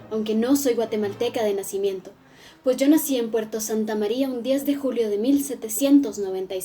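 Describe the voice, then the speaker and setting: A frank, witty nineteenth-century woman writer, played by a woman tells her own story in a chatty way, speaking straight to her listeners. Her voice is mellow and warm, with an easy, friendly flow. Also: on the high side, slight background noise, natural voice. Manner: chatty, addresses the audience directly. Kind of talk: first-person historical monologue.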